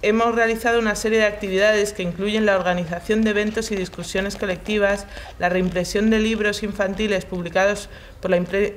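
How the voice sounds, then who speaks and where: A middle-aged woman reading an essay aloud with careful pauses and hesitations, in a large hall. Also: mid-range voice. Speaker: reader in a shared reading group. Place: large hall, seated on the floor.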